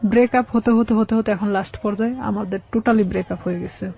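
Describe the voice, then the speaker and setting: A woman's voice: medium pitch, steady tone, brisk, creaky, breathy edge. Female interview guest, Bangladeshi accent, personal roundabout storytelling, dull lo-fi sound. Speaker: guest telling her life story.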